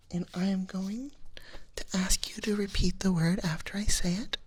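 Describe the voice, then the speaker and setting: A gentle dragon nurse, played by a woman speaks in soft ASMR roleplay. The voice is slightly low and breathy, often dropping to a whisper. The delivery is extremely slow, with a reassuring, clinical bedside manner. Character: gentle dragon nurse giving a hearing exam.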